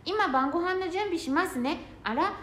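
A woman's voice: extremely high pitch, lively intonation, normal speed, a bit bright. A woman playing a polite, anxious daughter-in-law in an amateur radio drama. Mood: cheerful. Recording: echoey room, faint noise.

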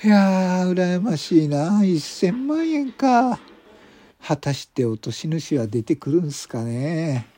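男性が演じる高い声ではしゃぐ若手刑事. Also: male voice, sits high, very animated, slow, mellow, voice pushed up, exaggerated voice. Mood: gleeful envy. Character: excitable young male police detective, gleefully envious. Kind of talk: radio drama acting.

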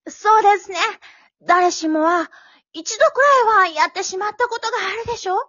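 A high, bright comic manners teacher who teaches with earnest drama and spins into rambling self-talk, voiced by a woman. This is an amateur radio play captured in a dull, lo-fi recording. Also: lively intonation, normal speed. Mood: instructive. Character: eccentric female manners instructor, overthinking. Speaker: manners instructor.

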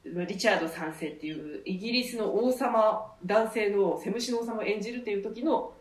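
A woman's voice: medium pitch, brisk, recorded from a distance. A woman from a theatre troupe explaining her artistic thinking reflectively in a radio talk. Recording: echoey room, away from mic.

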